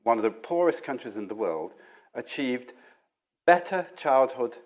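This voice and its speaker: A man's voice: mid-range voice, sing-song, measured pace. A man speaking on a conference panel about health research, emphatic and building his points through repeated phrases.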